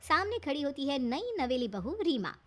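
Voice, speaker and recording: A woman's voice: high-pitched, big pitch swings, moderate pace, a bit bright. A woman narrating a scripted moral family story as its storyteller.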